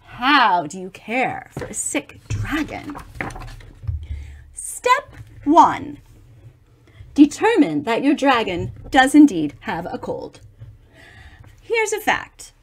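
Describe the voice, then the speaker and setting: A middle-aged woman reads a picture book aloud to children at an unhurried pace. Her voice sits high and swings widely in pitch. She is expressive and adds chatty asides to explain things. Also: a little hiss or hum. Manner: expressive, animated, explaining to young listeners. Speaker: storybook reader for children.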